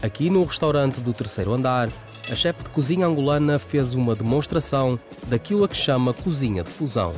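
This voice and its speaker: A man narrates a news report in a measured, scripted read. His voice is mid-pitched. Soft music plays beneath. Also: expressive. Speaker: reporter voice-over.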